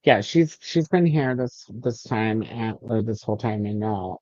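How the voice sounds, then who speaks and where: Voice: mid-range voice, lively intonation, a little slow, a little mellow, dry recording, lo-fi sound. A host chatting casually and reading out chat on a livestream, with a joking, sweary way of talking.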